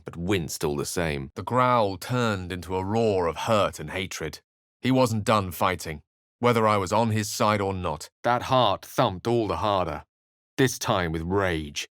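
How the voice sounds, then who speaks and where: Low, solemn fantasy game storyteller. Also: male voice, fairly bright tone, clear, resonant tone, dry recording, studio quality. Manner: measured, dramatic storytelling. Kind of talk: scripted fantasy game narration.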